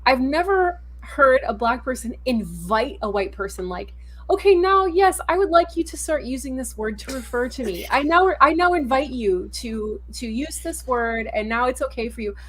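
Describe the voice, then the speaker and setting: A woman in a casual discussion who reasons aloud in a measured, inquisitive way. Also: sits high, animated.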